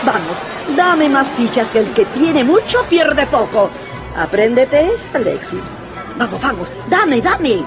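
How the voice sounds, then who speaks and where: An actress playing a sharp-tongued, rich old Russian grandmother in a radio drama, haughty, mocking and commanding. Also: sits high, big pitch swings, measured pace, music in the background, crowd noise, heavily acted. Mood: imperious, eager.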